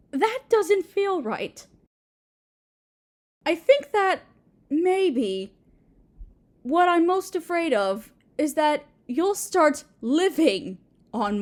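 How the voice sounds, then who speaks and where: A woman's voice: sits high, full character voice. A woman voicing a doting, possessive and insecure Mother Earth in an intimate roleplay audio drama, tender, hesitant and sweetly overbearing.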